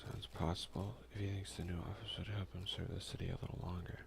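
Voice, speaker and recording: A man speaks softly and casually in ASMR gaming commentary. His voice is deep and heavily fried, often dropping to a whisper, with faint noise behind it. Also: flat delivery, fast talker, creaky. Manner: soft-spoken, often slipping into whisper. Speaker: let's play ASMR video creator.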